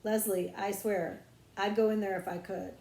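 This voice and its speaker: A woman's voice: medium pitch, level tone, moderate pace, vocal fry, dark tone, close to an everyday voice. A woman voicing an earnest, unsure young country boy with plain, colloquial speech in a children's storybook. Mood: flustered. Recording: roomy echo.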